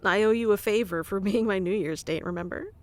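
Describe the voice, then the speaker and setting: A woman doing a soft-spoken ASMR roleplay, teasing and commanding yet doting, close to the mic. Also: mid-range voice, brisk, no echo. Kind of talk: ASMR roleplay, playing a bossy affectionate boss.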